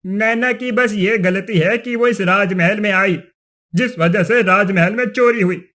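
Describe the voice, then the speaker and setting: A man's voice: sits high, unhurried, sharp tone. A man playing an accusing, superstitious village chief in a cartoon story, speaking insistently. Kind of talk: Hindi cartoon story acting.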